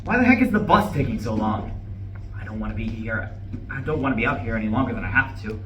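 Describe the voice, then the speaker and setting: Bright-voiced grumbling man, acted, echoey room. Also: medium pitch, expressive, fast talker, natural voice. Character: down-on-his-luck, fussy, self-pitying man. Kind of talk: live stage play reading. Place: room at a live campus play reading. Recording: echoey, distant live recording, faint noise.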